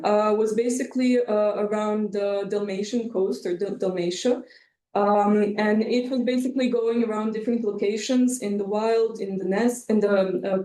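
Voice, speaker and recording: A woman whose first language is Serbian presents her volunteer work, pausing often and filling gaps with "uh" and "um". Her voice is mellow, and the room gives it an echoey ring. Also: mid-range voice. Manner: halting, with frequent fillers. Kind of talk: presentation talk.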